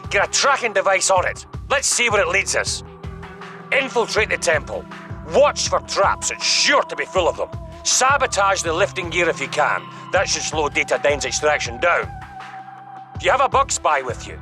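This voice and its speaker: A man's voice: high-pitched, very animated, normal speed, music in the background. A man playing a stern but concerned spymaster in a video game, giving earnest orders. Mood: urgent briefing. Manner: commanding, earnest. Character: stern, concerned male spymaster commanding by radio. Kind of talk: video game cutscene acting.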